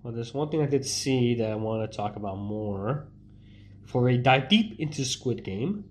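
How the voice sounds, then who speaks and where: A young man with a stuffy, congested voice talks to his viewers in a loose, rambling way. He keeps restarting his sentences and leaning on fillers as he chats. His pitch sits in a middling range for a man. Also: expressive, normal speed. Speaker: streamer. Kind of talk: casual live-stream chat.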